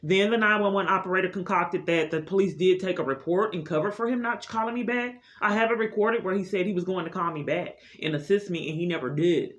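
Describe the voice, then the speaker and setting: A woman's voice: lowish voice, brisk, hardly any pauses, reverberant. A woman speaking unscripted in an insistent, aggrieved way, as if setting out a long personal complaint. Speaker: vlogger recording a personal complaint. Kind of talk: unscripted monologue of grievances. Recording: muffled audio with heavy noise and clicks.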